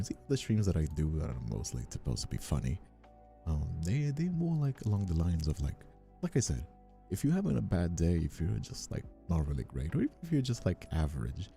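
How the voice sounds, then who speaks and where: A man's voice: extremely low pitch, gravelly, mellow. A man from Brazil hosting a livestream in casual, playful chat, rambling and often repeating himself. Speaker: streamer and voice actor hosting a livestream.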